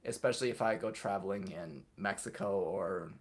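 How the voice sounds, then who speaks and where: A young man hosting a casual livestream, reading viewers' comments aloud and answering them off the cuff in a relaxed way. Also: deep voice, moderate pace. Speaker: livestream host answering chat.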